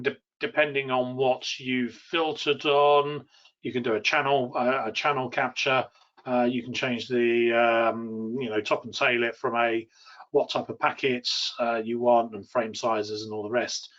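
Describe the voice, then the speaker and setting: A man's voice: mid-range voice, fairly bright tone. Man demonstrating tech, dull lo-fi audio. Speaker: product demonstrator and technical trainer. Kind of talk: informal live technical product demo.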